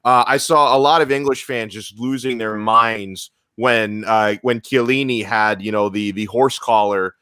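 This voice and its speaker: A man on a casual football podcast, lively and full of fillers as he asks a fellow panelist a question. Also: on the low side, a little slow, few pauses, smooth, dry recording. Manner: lively, lots of fillers, mocking impressions of fans. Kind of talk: casual sports chat.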